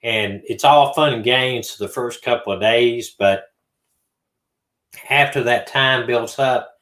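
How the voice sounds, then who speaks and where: A man's voice: mid-range voice, takes it slowly, fairly bright tone. A middle-aged man with a Southern American accent, rambling in a folksy way as host of a casual livestream chat. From Southern United States. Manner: rambling, folksy, many fillers and restarts. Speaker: livestream host, retired detective. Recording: roomy echo.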